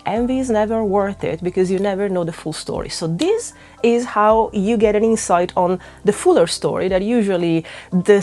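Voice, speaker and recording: A young adult woman with an Italian accent, a native Italian speaker, chats casually to her viewers. Her voice sits a little low, and she talks with candid, self-mocking honesty. She often restarts a word before carrying on. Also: darkish tone. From northwest Italy. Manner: candid and self-deprecating, with frequent restarts and laughs. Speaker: witchcraft YouTuber. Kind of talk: casual vlog talk to camera.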